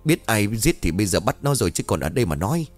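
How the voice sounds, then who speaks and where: A man voicing a brisk, gossipy village woman neighbor passing on news. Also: on the low side, sing-song, soft background music, voice pushed up. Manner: chatty, brisk, tongue-clicking.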